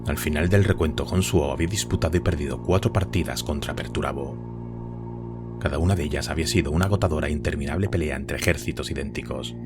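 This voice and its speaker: Very deep-voiced male story narrator. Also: fast, over music. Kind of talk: scripted dramatic narration of a war story.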